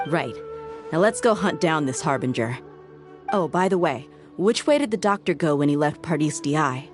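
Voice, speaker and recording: A woman's voice: deep voice, expressive, normal speed. An actress playing a confident, self-assured woman mercenary in a video game, speaking decisively over game music. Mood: steady.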